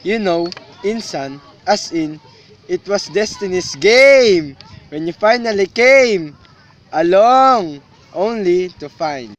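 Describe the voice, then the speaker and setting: A man with a Filipino accent acting as an easygoing, cheerful young provincial cousin in a student radio drama, with halting English mixed with Tagalog. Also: high-pitched, lively intonation. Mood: teasing, earnest.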